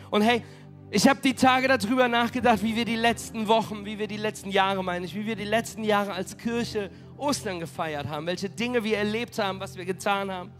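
Man pastor, hoarse from a cold, high voice, energetic sermon, music behind. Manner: energetic, rallying the congregation. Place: church hall.

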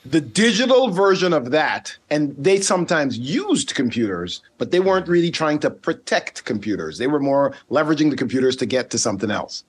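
A man's voice: fairly high pitch, very animated, fairly quick, few pauses, husky. A man whose first language is Dutch, raised in the Caribbean, speaking as a guest in a conversational interview, thoughtful with frequent fillers and restarts. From Saint Martin, Caribbean. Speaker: guest, ethical hacker.

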